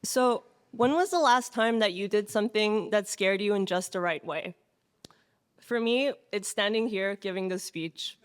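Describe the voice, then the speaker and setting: A woman in her twenties, a recent graduate, gives a prepared speech that opens like a story. Her voice is smooth and resonant, and her delivery draws the listener in. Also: medium pitch, unhurried. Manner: engaging storyteller. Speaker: graduate speaker. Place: reception room at a faculty club.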